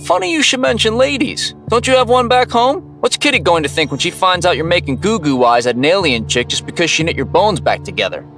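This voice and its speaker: A man's voice: sits high, big pitch swings, a little fast, hardly any pauses, fairly bright tone, soft background music, full character voice. A man playing a cocky, hot-headed young superhero in an audio comic drama, brash, slangy and confrontational. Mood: heated, taunting.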